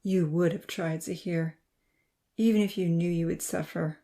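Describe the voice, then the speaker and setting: A woman voicing a gentle young man who speaks slowly and softly to reassure his friends. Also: on the low side, steady tone, natural voice. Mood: soothing. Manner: slow, soft and reassuring.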